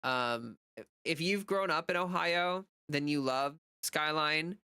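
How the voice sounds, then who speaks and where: A woman's voice: low voice, takes it slowly, sharp tone, smooth, dry recording. An American woman livestreamer chatting casually and off the cuff, rambling informally as she answers viewer comments. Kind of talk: casual unscripted chat.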